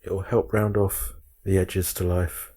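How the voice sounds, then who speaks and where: Low, soft-edged husky man guiding meditation. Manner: measured, calm delivery with short phrases and pauses. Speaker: meditation guide. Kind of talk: guided meditation instruction.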